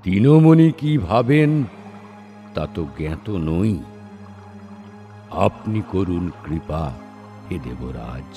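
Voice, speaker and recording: A man's voice: on the low side, a little mellow, heavily acted. A man voicing a proud, weary, doomed warrior prince in a solemn, declamatory recitation of an epic verse drama. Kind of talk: dramatic Bengali poetry recitation with dialogue. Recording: studio voice over background music. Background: background music.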